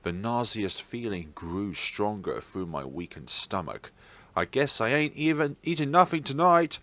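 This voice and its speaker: A man with a fairly low voice narrates a horror game as a let's play host. His pitch moves around a great deal, and he reads theatrically, with wry, playful commentary. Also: very animated, unhurried, a bit bright, no echo. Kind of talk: playful gaming commentary and expressive read-aloud of a visual novel.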